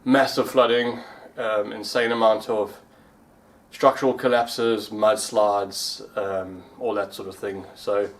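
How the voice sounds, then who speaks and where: Low-voiced man chatting casually. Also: level tone, slow pace. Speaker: vlogger and amateur pilot. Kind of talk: casual vlog talk.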